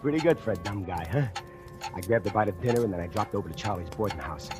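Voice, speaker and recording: A man's voice: lowish voice, expressive, fast talker, natural voice. An actor playing a scheming factory worker who narrates his own murder plot, confiding and gloating, in 1940s radio acting. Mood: sly. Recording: old radio broadcast with music.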